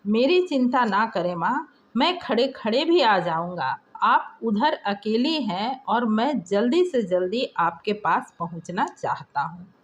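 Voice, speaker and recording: A woman's voice: on the high side, big pitch swings, slow. A woman voicing a caring, obedient young man in an audio story, earnest, concerned and reassuring toward his mother.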